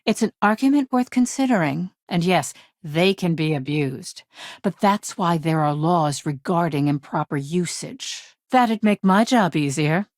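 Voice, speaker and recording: Video game corporate attorney, female: stern, impatient, businesslike delivery, slightly low pitch, studio-dry. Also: animated, a little slow, darkish tone, no echo. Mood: matter-of-fact. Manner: crisp, formal, businesslike, curt. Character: brisk, stern corporate lawyer woman, guarded and impatient. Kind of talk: scripted game dialogue.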